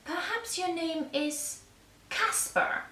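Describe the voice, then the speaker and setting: A woman's voice: very high-pitched, slow pace, sharp tone, pitched above the performer's own voice, full character voice. A woman voicing an anxious young storybook queen, speaking tentatively and drawing out each guess, in an echoey room. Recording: echoey room, distant microphone.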